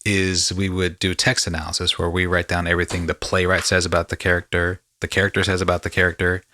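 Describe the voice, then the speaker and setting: A male podcast host with a fairly low voice and a bright, punchy edge. He rambles through stories conversationally, dropping in slangy asides. The sound is dry and close. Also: completely flat delivery, fast, no room sound.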